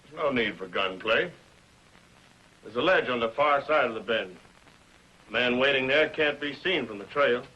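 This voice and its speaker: An actor playing a curt, scheming outlaw ringleader with a rough voice, giving orders in a 1950s TV adventure. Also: male voice, mid-range voice, animated, normal speed, pauses often, sharp tone. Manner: curt, commanding. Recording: dull vintage TV soundtrack.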